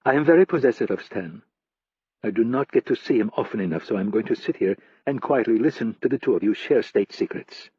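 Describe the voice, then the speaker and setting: A man voicing a poised, commanding French woman journalist in an audiobook, crisp and confident. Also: lowish voice, no room sound. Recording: dull, lo-fi dry audiobook recording.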